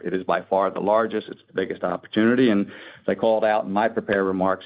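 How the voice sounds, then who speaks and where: A man speaking as a company executive, answering analysts on an earnings call in a measured, careful corporate manner. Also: deep voice. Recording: dull, lo-fi conference call audio.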